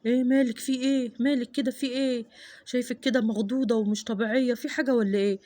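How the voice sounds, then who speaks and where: A deep, gruff Egyptian father, as a woman puts him on, worried and pressing with quick, anxious questions. His voice sits far below her own high pitch and rattles along fast, in a homemade family skit. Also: fairly even intonation, mellow, close to an everyday voice. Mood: concerned. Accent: Egyptian Arabic. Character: stern worried husband and father, adult man.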